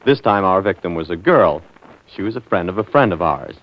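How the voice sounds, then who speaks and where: A man's voice: deep voice, animated, fairly quick. An American man hosting and narrating a scripted 1940s radio program. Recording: dull old 1940s radio recording.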